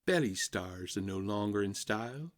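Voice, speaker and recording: A man's voice: fairly low pitch, animated, moderate pace, natural voice. A man voicing a sly, eager fix-it salesman creature in a storybook, with grand, persuasive patter. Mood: sly persuasion.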